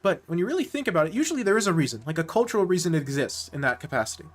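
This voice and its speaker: A man's voice: fairly high pitch, big pitch swings, racing, faint music behind. A man streaming live and chatting casually with viewers, rambling and correcting himself mid-sentence with nerdy enthusiasm. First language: English.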